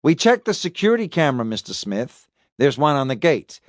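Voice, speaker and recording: A man's voice: medium pitch, very animated, fairly quick, no room sound, studio quality, natural voice. A man voicing a sarcastic police detective who probes and taunts as he interrogates a suspect in an audiobook. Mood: pressing. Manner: probing, taunting.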